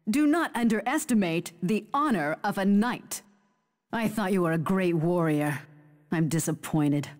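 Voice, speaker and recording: Fierce fighting game lady voiced by an actress, haughty taunts, really swoopy pitch, unhurried, big hall reverb. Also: lightly acted. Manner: haughty, theatrical, clipped declarations. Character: fierce female fighter taunting opponents in battle. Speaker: video game character voice. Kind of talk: dramatic fighting game battle quotes.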